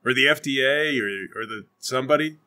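A man's voice: medium pitch, a little fast, sharp tone. A man playing a tabletop game, bantering comedically in a questioning, argumentative way.

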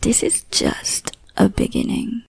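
A young woman voicing an obsessive, possessive lover in an ASMR roleplay, whispering softly with teasing menace. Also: slow pace, dry recording, natural voice. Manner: soft breathy whispering, teasing and threatening.

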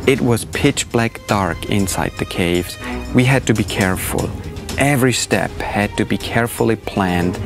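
A middle-aged man leading a drone team, narrating an expedition in a steady, matter-of-fact way. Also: lowish voice. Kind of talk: documentary narration. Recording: music bed under voice.

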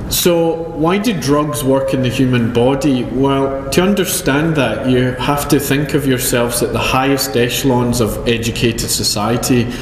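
A Scottish man delivers a science lecture in a mid-range voice, dropping in dry jokes at his own expense between frequent ums. His talk rings through a roomy hall with a long echo, and a faint hum of noise sits underneath. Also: mid-range voice. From Scotland. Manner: dry self-deprecating humor, many ums. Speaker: chemistry professor. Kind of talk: conference talk.

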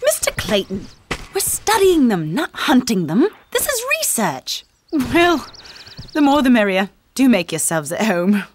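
An actress playing a spirited, polite young researcher with a proper manner in a video game. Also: female voice, a little high, a little slow, slight background noise. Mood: indignant. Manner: proper, animated.

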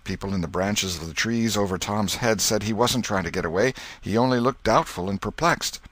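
A man narrating an audiobook in an expressive, literary storytelling style with a dramatic delivery. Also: on the low side, brisk, no echo.

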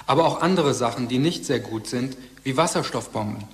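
An actor playing a detached, scholarly narrator, reading formal scripted text in an audio drama. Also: male voice, medium pitch, expressive, a little fast, lightly acted.

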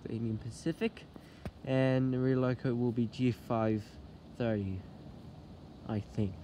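A man vlogging as a trainspotter, chatting casually by the tracks and calling out train numbers. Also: medium pitch. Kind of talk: casual trainspotting vlog narration. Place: outdoors by railway tracks and station platform. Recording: street and train noise behind.

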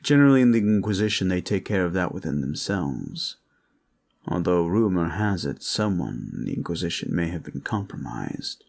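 A man performing an intimate audio roleplay as a protector, speaking in a reassuring, courteous, measured way. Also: low-pitched, sing-song, vocal fry, darkish tone. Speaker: roleplay voice actor, playing a gentle protector.

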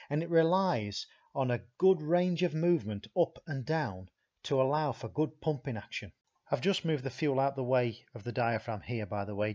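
A man talks through a technical animation as a repair instructor, steady and methodical, circling back to key points. His voice sits at an ordinary middle pitch. The voice-over has a slightly dull, lo-fi sound.

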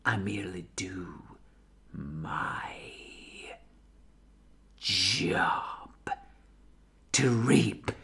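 A man voicing a cold, menacing grim reaper in a slow, breathy whisper. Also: low voice, animated, heavily acted. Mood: ominous. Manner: slow, hushed, ominous.

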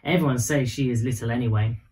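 A man's voice: medium pitch, fast, darkish tone, poor audio. A man in his thirties reading a children's bedtime story expressively. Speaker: storybook reader.

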